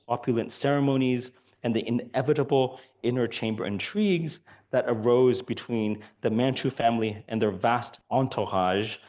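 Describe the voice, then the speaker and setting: A hoarse man giving an academic lecture, speaking softly with many ums and uhs. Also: mid-range voice. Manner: soft-spoken, many fillers. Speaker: guest lecturer.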